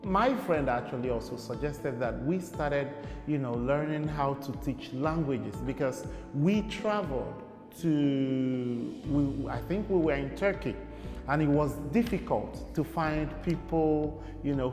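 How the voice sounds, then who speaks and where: A young Nigerian man, a guest in an interview, talking casually and rambling with many fillers. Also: mid-range voice. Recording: echoey room with long reverb.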